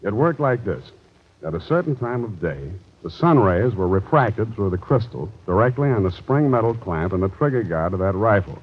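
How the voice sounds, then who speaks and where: Cocky american gumshoe, dry and brisk, muffled old radio show. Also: male voice, on the low side, very animated, slightly rough. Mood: brisk, self-satisfied. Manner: sardonic, brisk, self-assured. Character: wry, cocky American private detective. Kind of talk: 1940s radio detective acting. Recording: muffled vintage radio recording.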